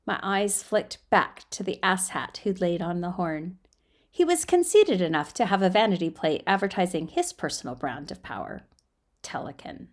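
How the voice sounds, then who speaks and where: A woman reading a story aloud as an amateur narrator, in an expressive, emphatic storytelling manner with occasional stumbles over words. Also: on the low side. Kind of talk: dramatic audiobook-style fiction narration.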